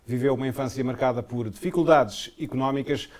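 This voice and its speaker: Formal TV interviewer. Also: male voice, medium pitch, darkish tone. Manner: formal, measured questions. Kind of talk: broadcast interview hosting.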